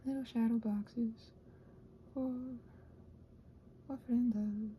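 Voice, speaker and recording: A soft-spoken woman chatting casually and musing aloud as a craft vlogger, often slipping toward a whisper and gently reassuring herself. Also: fairly high pitch, steady tone, slow, highs cut off, slight background noise. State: short on sleep. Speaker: craft vlogger and ASMR host. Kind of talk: casual rambling craft chat.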